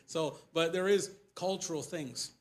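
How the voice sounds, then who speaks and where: A man in his forties with a Mexican accent speaks as a visiting missionary pastor in a church sanctuary, picked up by a lapel mic. His voice sits somewhat high for a man. He talks in an animated, casual way, climbing in pitch and volume when he stresses a point. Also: measured pace. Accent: Mexican Spanish. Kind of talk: casual church presentation with questions. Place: church sanctuary before a pulpit.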